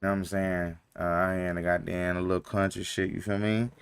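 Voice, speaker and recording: A man's voice: low-pitched, flat delivery, a little fast, gravelly, smooth. Rambling young man streaming a game. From North Carolina. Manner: rambling, filler-heavy, slangy. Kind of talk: casual live-stream chat.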